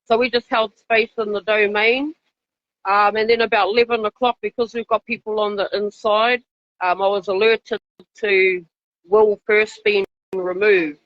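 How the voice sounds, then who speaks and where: A woman's voice: mid-range voice, fairly even intonation, measured pace, no echo. A woman with a New Zealand accent giving an earnest, informal live interview as an activist. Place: outside a hospital, live call. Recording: dull lo-fi live stream audio.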